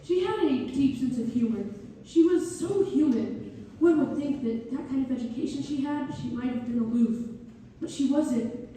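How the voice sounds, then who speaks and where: A woman's voice: high-pitched, moderate pace, mellow, lightly acted. A woman playing an earnest young male architect who fondly recalls his patron in a staged read-through. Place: reverberant hall, community theater performance. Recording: echoey hall from far off, faint noise.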